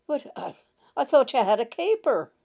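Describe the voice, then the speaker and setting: A woman voicing a mild, cautious grown farmer son, gentle and guarded, in a story reading. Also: sits high, sing-song, moderate pace, creaky, pitched above the performer's own voice, full character voice. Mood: puzzled.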